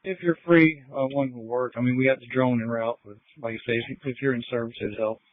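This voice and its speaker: A man's voice: medium pitch, steady tone, a little fast, no echo, narrow, tinny audio. A male police officer talking off the cuff over scanner radio, with hesitant phrasing. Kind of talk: unscripted police radio talk.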